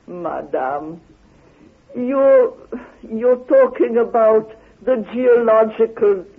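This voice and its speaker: A woman recounting an expedition in an emphatic, halting way, as a spoken anecdote. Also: mid-range voice. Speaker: explorer and theorist recounting her expedition. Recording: tinny band-limited old radio recording.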